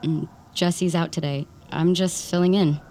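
An actress playing a soothing, chatty female acupuncturist with a gentle, coaxing manner in an audio drama. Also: lowish voice, fairly even intonation, a little slow, noisy background, close to an everyday voice. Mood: casual, prodding.

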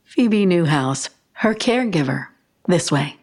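An actress playing a soft-spoken, unhurried woman caregiver in an audio drama, with a breathy, husky voice. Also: fairly low pitch, expressive. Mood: calm, reserved.

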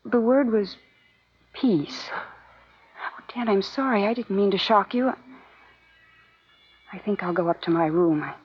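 Earnest young woman, yearning, medium pitch, 1950s radio drama style, muffled old broadcast. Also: slow pace, long pauses, breathy edge. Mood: anxious, pleading. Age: young adult. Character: earnest, yearning young daughter, secretly in love with captive.

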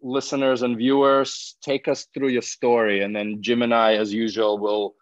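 A man's voice: mid-range voice, little pitch movement, normal speed. A man co-hosting a podcast, inviting his guest to tell his story in a friendly way. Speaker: podcast co-host. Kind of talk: interview hosting. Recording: dull, dry mic sound.

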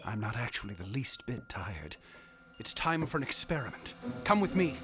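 An actor playing a cold, arrogant young scientist in a period radio drama, speaking in a clipped, detached way. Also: male voice, mid-range voice, sing-song, normal speed, music in the background, lightly acted. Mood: coldly ironic. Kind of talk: 1930s radio drama acting.

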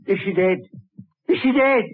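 An actor playing a grim, agitated Scottish body snatcher in theatrical old-time radio drama style. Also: male voice, sits high, animated, moderate pace, a little hiss or hum. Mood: hysterical panic. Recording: muffled, narrow-band old radio recording.

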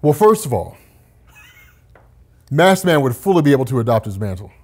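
A man in casual banter with friends, improvising silly comic-book stories, animated and playfully defensive. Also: mid-range voice, moderate pace, breathy edge.

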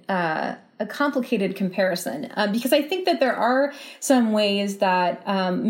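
A woman's voice: medium pitch, a little mellow. A woman in her thirties with an American accent, a writer speaking thoughtfully as a guest about personal experience. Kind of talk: reading a personal essay aloud, then thoughtful interview answers.

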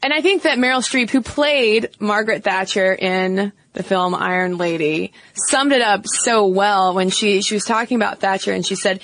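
A woman's voice: mid-range voice, fairly bright tone, no echo, poor audio. A woman co-hosting a podcast in casual chat, thoughtful and hedging with fillers as she talks. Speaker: podcast co-host.

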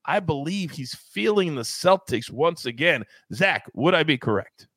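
Bright, hyped-up sports betting host. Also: male voice, animated, a little slow, dry recording. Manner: hyped, showy, playful swings in pitch. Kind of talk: sports betting show hosting.

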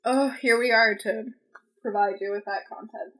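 A woman's voice: a little high, fairly even intonation, fairly bright tone, poor audio. A young woman co-hosting a podcast in casual chat, tossing in playful quips. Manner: playful quips, quick to laugh. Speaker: podcast co-host.

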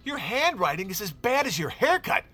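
A gruff male boss, scornful and quick to insult. His voice is high, sharp and husky, with animated swings. It is audio drama dialogue. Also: full character voice. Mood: irritated, scornful. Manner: scornful, blustering.

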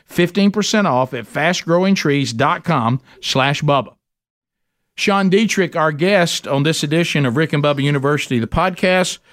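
A man's voice: fairly high pitch, moderate pace, fairly bright tone, dry recording, studio quality. A man with a Southern American accent, hosting a podcast in a casual, joking, folksy way and often repeating his phrases. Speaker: podcast host. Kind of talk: casual podcast chat and ad reads.

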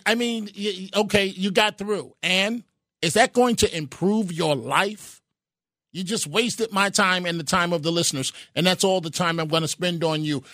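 A man hosting a call-in radio show, who cuts in on callers with quick repeated interjections. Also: high voice, bright, forceful tone, dry recording, studio quality. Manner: talks over callers with rapid repeated interjections. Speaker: radio talk show host.

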